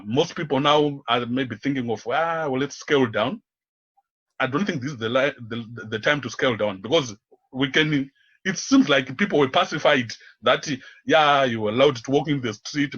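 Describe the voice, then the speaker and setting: Male radio interview guest, activist type, husky tone, halting and searching delivery, slightly muffled audio. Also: mid-range voice, a bit bright. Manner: halting, searching, repeats words as they go. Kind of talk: unscripted radio interview talk.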